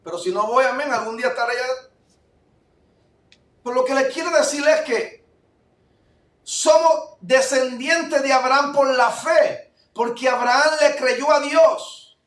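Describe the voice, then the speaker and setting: A male pastor from Puerto Rico with a Puerto Rican accent, preaching a fervent sermon that swings between loud declarations and low asides. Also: a little slow, long pauses. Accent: Puerto Rican Spanish. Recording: echoey room, voice from far off mic.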